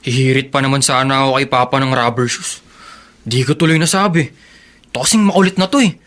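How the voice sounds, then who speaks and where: An actor playing an earnest teenage son and teasing brother in a radio drama. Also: male voice, medium pitch, brisk, a bit bright, natural voice. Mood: complaining, teasing. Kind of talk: Filipino radio drama acting.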